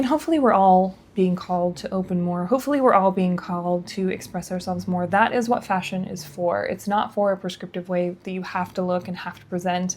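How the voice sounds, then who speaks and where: A woman's voice: medium pitch, a little mellow. A woman in her thirties who vlogs about fashion and beauty, speaking in an earnest, reflective, encouraging way with plenty of casual fillers. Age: 30s. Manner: frequent fillers like "like" and "kind of". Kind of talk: candid talking-to-camera vlog.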